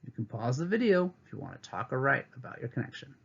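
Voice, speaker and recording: A man's voice: mid-range voice, big pitch swings, fast, creaky, fairly bright tone, slightly rough, highs cut off. A man teaching a read-aloud lesson to children, reading a picture book and adding teacherly asides from home.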